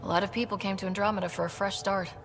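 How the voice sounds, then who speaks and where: A woman plays a young female explorer leading a team in a video game. She talks fast, plain and direct, in a reverberant space with faint noise. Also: medium pitch, fairly even intonation, close to an everyday voice. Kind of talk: video game character dialogue.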